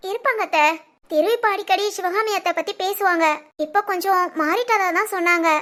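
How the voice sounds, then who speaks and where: A woman acts out a young daughter-in-law in a family audio drama. Her voice is extremely high, and she speaks gently, respectfully and agreeably, with a hopeful temper. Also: brisk. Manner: polite, agreeable.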